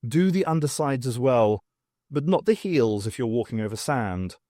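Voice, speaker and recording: Man voicing a wry, animated woman professor. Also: mid-range voice, moderate pace, no room sound, clean studio sound, close to an everyday voice. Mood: breezy. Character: wry, self-assured woman archaeology professor.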